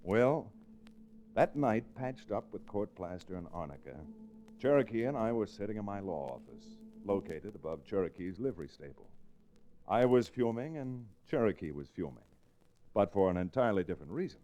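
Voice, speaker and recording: Western hero and narrator, male actor: lower register, firm, earnest, vintage radio drama with faint noise. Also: lively intonation, normal speed, warm tone, lightly acted. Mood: grim storytelling. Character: steady, principled lawyer-rancher hero of the Old West. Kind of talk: 1940s radio western acting and first-person narration. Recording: noisy old radio recording.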